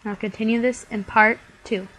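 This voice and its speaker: A woman's voice: a little high, steady tone, moderate pace, fairly bright tone, rough recording. A young woman, stuffy from a cold, chattily talking through a bead craft tutorial step by step. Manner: chatty, many "like" fillers.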